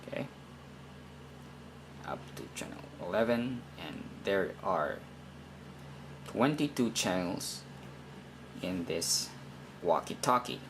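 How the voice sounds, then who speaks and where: A man with a fairly low voice walks through instructions very slowly. He is deliberate and halting, circling back to repeat himself in an informal teaching style. Faint noise sits behind the recording. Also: lively intonation. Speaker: instructor in a how-to video. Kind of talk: informal step-by-step tutorial.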